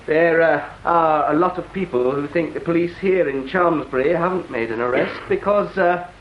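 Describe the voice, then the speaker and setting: An actor playing an agitated, self-important town councillor in a radio drama, formal, insistent and complaining. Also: male voice, fairly high pitch, normal speed, reverberant, lo-fi sound, a little hiss or hum, natural voice. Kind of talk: British radio drama acting.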